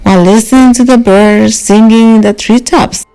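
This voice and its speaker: A woman narrating a children's storybook as a storyteller, in a measured, expressive and dramatized way. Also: fairly high pitch, faint music behind, poor audio.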